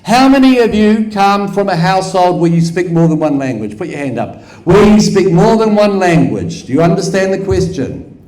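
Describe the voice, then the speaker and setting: A man's voice: sits high, lively intonation, normal speed, a little mellow. A man with a New Zealand accent giving a conference lecture in a hall, repeating phrases for rhetorical effect and quizzing the audience with questions. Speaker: guest lecturer. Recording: echoey hall.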